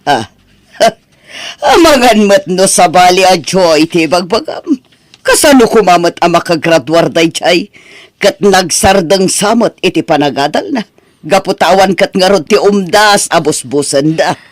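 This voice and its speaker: A man's voice: high-pitched, lively intonation, a little slow, no room sound. A man playing a gossipy, scornful rural relative in an audio family drama, chatty and mocking. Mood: mocking, dismissive. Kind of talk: Ilocano radio-style drama acting.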